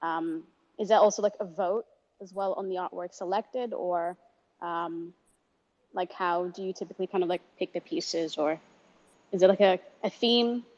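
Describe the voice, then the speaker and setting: A woman's voice: lowish voice, moderate pace, darkish tone. Tentative woman on dull lo-fi audio. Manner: tentative, loose phrasing. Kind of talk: casual chat, asking questions.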